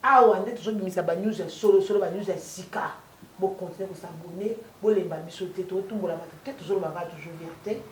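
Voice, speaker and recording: A woman's voice: lowish voice, brisk. Woman hosting, sounds far from the mic in an echoey room, faint hiss. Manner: thankful, chatty, asks viewers to subscribe. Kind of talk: casual show chat and sign-off.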